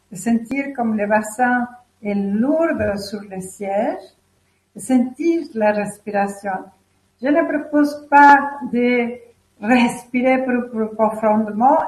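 A woman speaks very slowly in a slightly high voice, gently explaining and guiding as a guest. The room around her adds a clear echo. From Mexico. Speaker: guest, self-questioning workshop leader. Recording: roomy echo.

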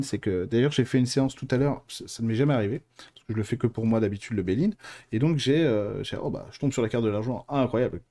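A man with a relaxed, mellow voice hosts a live stream and answers viewers. He talks informally and colloquially, wandering into digressions as he goes. The delivery is chatty and unscripted. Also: mid-range voice. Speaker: host of a live stream on mediumship and clairvoyance. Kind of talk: casual live talk answering viewer questions.

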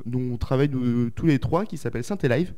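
A man's voice: medium pitch, quick pace, mellow. A man taking part in a radio chat, hesitant and full of restarts. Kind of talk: casual chat.

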